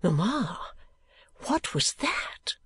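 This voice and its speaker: A woman voicing a gentle, polite young daughter in a storybook reading, soft-spoken and dutiful. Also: lowish voice, big pitch swings, takes it slowly, gravelly, slightly rough, full character voice. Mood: surprised, puzzled.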